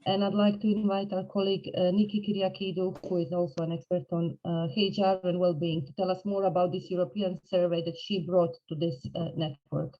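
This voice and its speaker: A woman's voice: lowish voice, little pitch movement, a little fast, few pauses. A woman hosting an online event and introducing speakers, heard through a dull video call connection. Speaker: session host.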